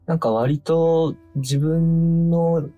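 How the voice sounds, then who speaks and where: A man's voice: a little high, steady tone, drawn-out words, lo-fi sound, faint music behind. A man speaking as a guest writer-director in a casual radio chat, modest, apologetic and a little hesitant. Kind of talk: casual after-show chat. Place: radio studio.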